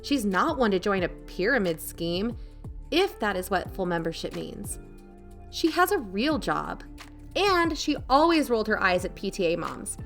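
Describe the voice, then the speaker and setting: A woman's voice: fairly high pitch, fairly bright tone. A woman playing a wary, protective aunt in an audio drama, confiding and dryly skeptical. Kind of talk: first-person audio drama narration.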